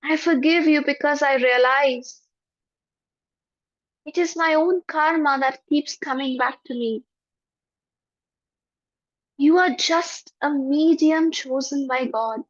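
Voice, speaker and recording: Slow high-voiced woman guiding meditation. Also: dry recording. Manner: slow, soft, prayerful repetition. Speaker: meditation guide. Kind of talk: guided forgiveness meditation. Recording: dull lo-fi, dry.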